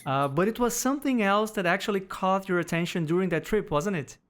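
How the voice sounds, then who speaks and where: A woman's voice: mid-range voice, lively intonation, fairly quick. A woman conducts an interview with a mid-range voice. She keeps her questions short and direct, prompting her guest to go on.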